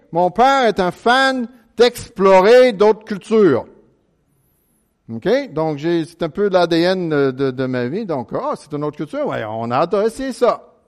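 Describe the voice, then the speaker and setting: Earnest American man preaching in French. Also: high voice. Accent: American English. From United States, Chicago suburbs. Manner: earnest, halting with many restarts, prayerful. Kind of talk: sermon.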